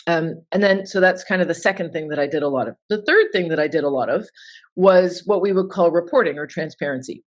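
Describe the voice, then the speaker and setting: Woman, forties, deep-pitched, informal, conversational. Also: a little fast. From Toronto, Canada. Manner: chatty and informal, with filler words. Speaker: interview guest, sustainability consultant. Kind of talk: conversational interview answers.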